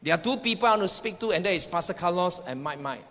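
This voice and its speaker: A man's voice: high voice, expressive, fast, fairly bright tone. A man preaching a sermon, declaring his points emphatically and repeating phrases, recorded in an echoing hall. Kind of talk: sermon with personal prophetic words to the congregation. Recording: echoing hall with long reverb.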